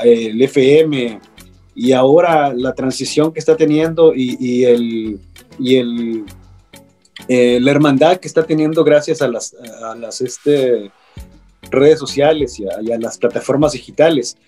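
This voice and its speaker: A middle-aged man with a Mexican Spanish accent, a radio broadcaster speaking on a panel, pausing often with fillers. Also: medium pitch, dark tone. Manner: hesitant, many fillers. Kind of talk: panel conversation.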